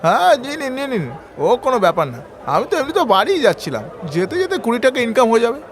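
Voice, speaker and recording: A man voicing a friendly, eager scrap dealer in a cartoon fairy tale, excitable and repeating himself. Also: extremely high pitch, fast talker, slight background noise. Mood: eager, obliging.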